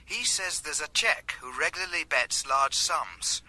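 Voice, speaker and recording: An actor with a British accent playing a keen, efficient junior detective in a radio drama, giving crisp, dutiful reports to his chief. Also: fairly high pitch, expressive, unhurried, pushed and bright, full character voice. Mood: eager, businesslike.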